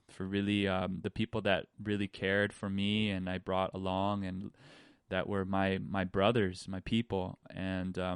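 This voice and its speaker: A man, low and smooth in tone. He muses candidly on his own podcast, circling back and restarting his thoughts as he goes. The sound is close and dry, with no room around it. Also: dry recording. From Hawaii. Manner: candid, rambling, with many restarts and fillers. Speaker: podcast host. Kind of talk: reflective personal monologue.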